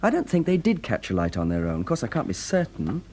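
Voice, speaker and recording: An actor playing a keen, careful British forensic analyst, technical and precise, in a classic radio drama. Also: male voice, medium pitch, huge pitch swings, fast talker, heavy background noise. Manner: technical, eager, cautiously precise.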